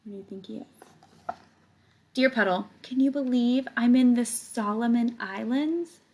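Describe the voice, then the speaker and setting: A woman's voice: on the high side, unhurried. A woman reading a picture book aloud to children like a teacher, stretching words and adding casual explaining asides to her young listeners. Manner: animated storytelling, stretches words, chats directly to young listeners.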